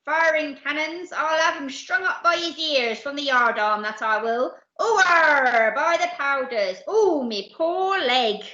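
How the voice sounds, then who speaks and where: A woman voicing a gruff, self-important male pirate captain in a storybook, roaring and grumbling in a West Country pirate accent. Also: high-pitched, animated, a little slow, hardly any pauses, sharp tone, pitched above the performer's own voice, exaggerated voice. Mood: outraged. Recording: echoey room, slightly dull sound.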